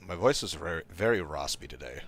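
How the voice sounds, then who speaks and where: A male streamer with a croaky, tired voice, casually thinking aloud and rambling while playing a game. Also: sits low, animated, a little fast, a bit bright. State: tired voice from talking all day. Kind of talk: casual gaming livestream commentary.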